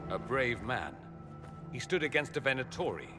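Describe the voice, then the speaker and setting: A man's voice: medium pitch, sing-song, normal speed, loud music behind the voice, natural voice. An actor playing a dry-witted male mage who speaks in an arch, sardonic way, in a video game cutscene. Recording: long hall reverb.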